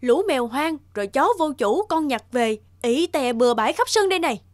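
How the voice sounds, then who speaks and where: Scolding cartoon mom, higher voice. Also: female voice, animated, a little fast. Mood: scolding, annoyed. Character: strict, exasperated young mother. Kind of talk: animated film dubbing. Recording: loud background music. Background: music.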